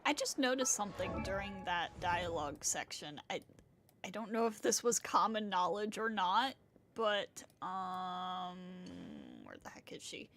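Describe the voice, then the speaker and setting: A woman's voice: mid-range voice, a little slow, fairly bright tone. A woman streaming a game, giving casual, musing live commentary in an informal way. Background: game music.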